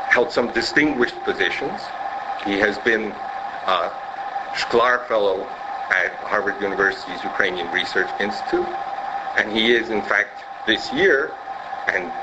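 A low-voiced man presents a visiting scholar to a lecture audience in a measured, formal manner, with pauses between phrases. The recording is muffled and lo-fi, and a steady hiss of noise sits underneath. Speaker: professor introducing a speaker. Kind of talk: formal introduction. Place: lecture room with wood panelling.

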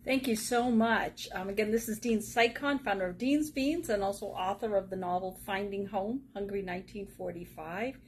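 A woman's voice: medium pitch, normal speed, hardly any pauses, a little hiss or hum. A woman acting as interviewer, introducing a guest and addressing viewers. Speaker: interviewer and magazine editor hosting.

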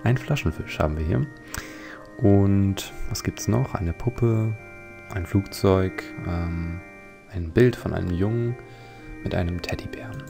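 A man with a very low, gravelly voice commentates on a game. He muses casually and unhurriedly, with clear music playing behind him. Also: a little slow. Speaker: let's player.

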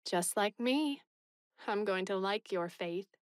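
A woman's voice: high voice, expressive, normal speed, darkish tone, no echo, studio quality, voice pushed up. A woman voicing an eager, decided young wife in a storybook reading.

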